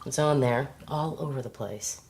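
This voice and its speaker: A woman's voice: very deep voice, normal speed, background noise. An actress playing a cynical, unsurprised woman friend who talks in a dry, matter-of-fact way. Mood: grim. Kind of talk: radio drama.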